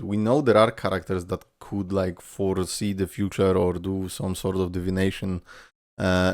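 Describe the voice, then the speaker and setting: A man's voice: deep voice, a little mellow, no echo, clean studio sound. A man hosting a reaction video, giving casual commentary and thinking aloud in an informal way.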